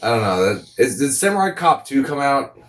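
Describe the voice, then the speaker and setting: A man with an average-pitched voice talks as a podcast co-host in an echoey room. He is offhand and joking, swearing freely in loose, casual movie chat. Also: very animated, brisk, fairly bright tone. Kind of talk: casual comedic chat about films. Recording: echoey, roomy sound.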